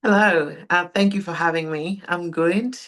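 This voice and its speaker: A young woman from Greece, a pro bodybuilder speaking relaxed and soft-spoken as a podcast guest. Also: lowish voice, moderate pace. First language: Greek. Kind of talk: casual interview.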